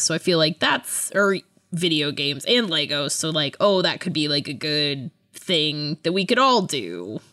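A woman's voice: low voice, fairly bright tone, no room sound, studio quality. A woman in her thirties chatting casually as a podcast co-host, with a chatty, self-deprecating manner. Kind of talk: casual chat.

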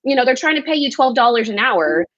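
A woman's voice: fairly high pitch, sing-song, fast, fairly bright tone, no room sound. A woman from Texas, a recruiter, telling her own story candidly and reflectively as a podcast guest. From El Paso, Texas. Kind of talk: casual interview storytelling. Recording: dry, slightly dull sound.

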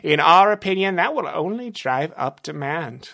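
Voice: medium pitch, expressive, normal speed, vocal fry, sharp tone, no echo, studio quality, voice pushed up. A man voicing a theatrical male drug-boss villain who gloats and pleads in a storybook reading. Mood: smug, gloating.

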